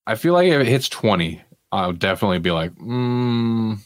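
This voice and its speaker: A man with a fairly low voice chats casually as a podcast host. His delivery is wry and conversational, and the sound is dry, with very little room in it. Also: sing-song, normal speed, dry recording. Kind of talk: casual pop culture chat.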